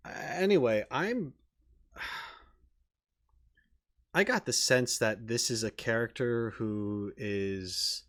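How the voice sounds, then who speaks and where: Casting: male game reaction host, average pitch, informal unscripted commentary, halting and thoughtful. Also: expressive, a bit bright. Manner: hesitant, thinking aloud, repeating words as he puzzles. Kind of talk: casual off-the-cuff commentary.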